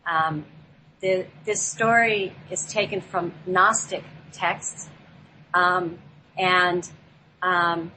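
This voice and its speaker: A woman guest on a talk show, speaking off the cuff in a halting way as she searches for her words. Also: fairly low pitch, fairly even intonation, pushed and bright, a little hiss or hum, rough recording. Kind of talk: unscripted talk introducing a play.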